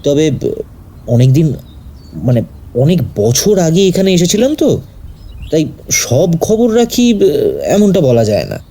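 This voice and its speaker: An actor playing a reserved, courteous doctor who chooses words hesitantly in an audio drama. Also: male voice, a little high, big pitch swings, measured pace, long pauses, warm tone. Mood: hesitant, guarded. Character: reserved, polite male doctor, someone's grandson, explaining himself. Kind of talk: audio story acting. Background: background music.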